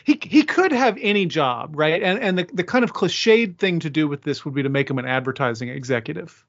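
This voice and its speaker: A man's voice: a little high, expressive, fairly quick, highs cut off. A man hosting a podcast, moderating a casual academic discussion.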